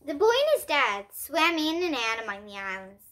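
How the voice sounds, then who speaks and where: A young girl reading a children's story aloud as host, expressively and deliberately, with dramatic pauses. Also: high voice, big pitch swings, sharp tone.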